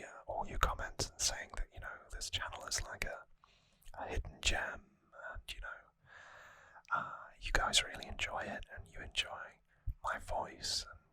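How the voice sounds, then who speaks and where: Close, dry whispered ASMR voice. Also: dry recording. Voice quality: breathy unvoiced whisper. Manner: gentle, earnest, slightly rambling. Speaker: ASMR channel host. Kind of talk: whispered ASMR, relaxed sign-off to viewers. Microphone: close microphone in a dry space.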